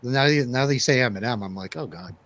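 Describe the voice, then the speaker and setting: A man's voice: medium pitch, fast, fairly bright tone. A man hosting a casual movie review radio show.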